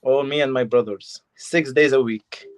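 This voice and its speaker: Young guy, fighter being interviewed, choppy simple english, short bits at a time. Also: mid-range voice. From Syria. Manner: halting short phrases, simple non-native English. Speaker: fighter, guest. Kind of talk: casual interview answers.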